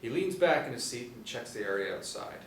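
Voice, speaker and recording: A man's voice: mid-range voice, lively intonation, a little fast, fairly bright tone. A middle-aged man reading out screenplay action as a scripted narrator at a table read. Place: small indoor room, seated at table read. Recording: roomy, distant mic, noisy ambience.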